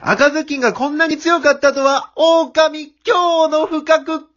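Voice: sits high, steady tone, measured pace, a bit bright, muffled recording. An amateur radio drama actor playing a hapless, blustering male wolf who whines and retorts in exasperation. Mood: beaten, whining. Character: hapless male wolf, blustering villain turned put-upon porter.

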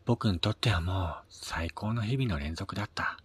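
A man with a husky voice narrating a scripted first-person radio drama, his phrase endings trailing into a breathy near-whisper. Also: deep voice, moderate pace, fairly bright tone. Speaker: reader of own short story.

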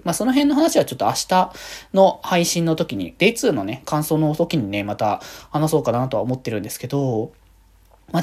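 Low-voiced woman rambling on her solo podcast, pitch moves around a lot, super casual. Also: a little mellow, husky. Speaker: host of a solo fan podcast.

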